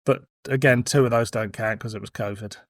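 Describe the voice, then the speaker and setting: A man's voice: medium pitch, fairly even intonation, brisk, no echo, studio quality. A man in his forties hosting a podcast in casual banter, with a wry, playful, teasing manner. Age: 40s. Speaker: podcast host.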